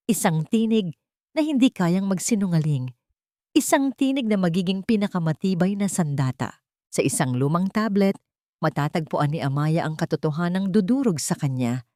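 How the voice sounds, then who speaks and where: A woman's voice: mid-range voice, mellow, dry recording, studio quality. A woman reading scripted dramatic narration as the storyteller of an emotional fiction tale.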